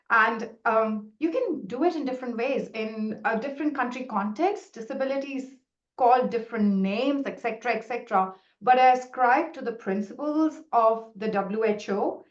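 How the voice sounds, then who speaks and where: A middle-aged woman whose first language is Hindi, a researcher answering questions in a webinar in an earnest, explanatory way with frequent restarts. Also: on the high side. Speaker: researcher presenting findings. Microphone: video call. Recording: roomy, muffled video call audio.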